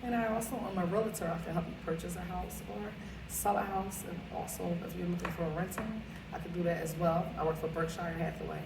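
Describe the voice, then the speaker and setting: Woman guest, caterer, rambling casual talk; lowish voice, roomy, noisy, off-mic recording. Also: fairly even intonation, fast, vocal fry, distant mic. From Philadelphia. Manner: chatty, rambling, with many fillers. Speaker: caterer and realtor, interview guest. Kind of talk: casual interview conversation. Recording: echoey room, off-mic, noisy.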